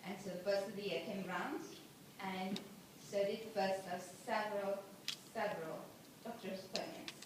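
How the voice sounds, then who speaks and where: A woman's voice: medium pitch, fairly even intonation, normal speed, few pauses. A woman in her twenties telling her own story to an audience, earnest and plain, with many fillers. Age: 20s. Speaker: speaker sharing her story. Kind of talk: personal testimony to an audience. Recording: very echoey hall, mic far off, background noise.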